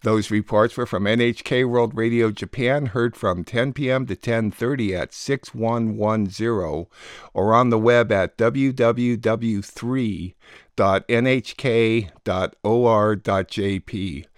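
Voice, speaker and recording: Low-pitched man hosting a radio program. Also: takes it slowly, smooth, no echo, studio quality. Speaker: radio program host and producer. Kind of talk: scripted radio introductions and announcements.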